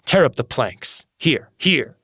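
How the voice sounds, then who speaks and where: A man with a husky voice performs a first-person horror tale as a tormented, manic murderer. His delivery is intense and theatrical, with a highly animated pitch that leans hard on key words. The sound is dry, with no room around it. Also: takes it slowly, dry recording. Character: guilt-crazed murderer confessing, tormented, manic. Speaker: story narrator. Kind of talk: dramatic scripted horror narration, first-person.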